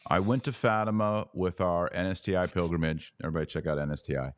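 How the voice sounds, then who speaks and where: A man's voice: deep voice, fast talker, dry recording. A man hosting a podcast in casual, conversational commentary with a blunt, opinionated way of talking. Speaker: podcast host.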